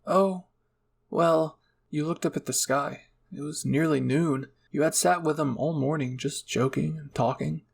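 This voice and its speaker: A man's voice: on the high side, steady tone, moderate pace, creaky, few pauses, slight background noise. A man narrating a second-person roleplay story as a channel host.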